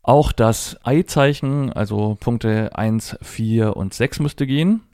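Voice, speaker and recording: A man's voice: mid-range voice, takes it slowly, a little mellow, clean tone, no room sound, clean studio sound. A middle-aged man giving a conversational, step-by-step tech tutorial, explaining and spelling things out as he demonstrates a device. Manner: spells out letters and dot numbers step by step. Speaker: presenter of a tech tutorial for Braille users.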